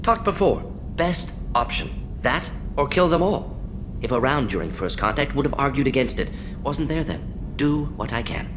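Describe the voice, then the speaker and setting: An actor playing a brisk, brilliant alien scientist in a video game, speaking in clipped, blunt fragments with small words dropped. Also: male voice, very animated, natural voice. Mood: urgent, regretful. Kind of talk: video game character acting. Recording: clear rumbling noise behind voice.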